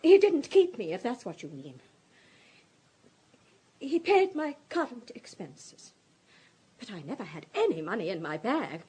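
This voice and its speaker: An actress playing a fragile, distraught countess of thirty-nine in a radio drama, halting, emotional and apologetic. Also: female voice, a little high, very animated, a little mellow, husky, full character voice. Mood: tearful. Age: 30s.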